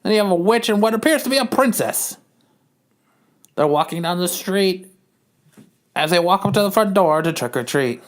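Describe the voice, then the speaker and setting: A woman's voice: fairly low pitch, expressive, moderate pace, pauses often. A woman narrating scene directions as part of a dramatic comic-book reading on a podcast.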